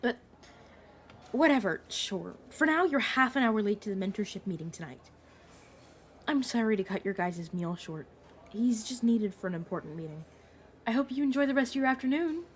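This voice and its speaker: A woman's voice: fairly high pitch, expressive, normal speed, slight background noise, natural voice. A woman playing a bossy female vampire colleague in an audio roleplay, blunt in her manner. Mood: polite, apologetic. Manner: blunt, scolding, then politely apologetic.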